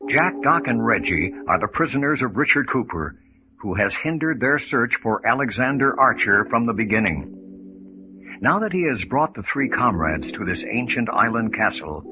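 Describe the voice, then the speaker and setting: A man's voice: medium pitch, slightly rough. Dramatic male radio announcer, muffled recording. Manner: dramatic, deliberate, portentous. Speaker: announcer and narrator. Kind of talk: old-time radio mystery narration. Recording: dull, noisy old-style radio recording.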